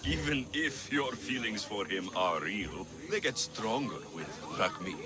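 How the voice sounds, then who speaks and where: A man's voice: lowish voice, animated, measured pace. A man playing a blunt, skeptical mercenary with a protective streak in a video game, speaking firmly. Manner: blunt, firm. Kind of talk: video game cutscene acting.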